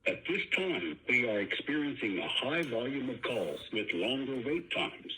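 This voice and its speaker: Very bright, animated male phone-menu announcer. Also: medium pitch, poor audio. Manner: formal, measured enunciation. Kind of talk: scripted recorded announcement. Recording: phone menu recording with faint noise.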